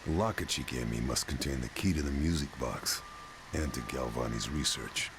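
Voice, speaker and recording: A man voicing a grim lone wartime agent in a video game, terse and brooding, with a gravelly voice and music underneath. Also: very deep voice, normal speed, background noise. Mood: muttering, focused. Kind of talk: scripted game narration.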